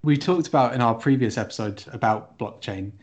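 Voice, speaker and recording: A man co-hosting a podcast, explaining things in a careful, conversational and measured way. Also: mid-range voice, darkish tone, highs cut off. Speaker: podcast co-host.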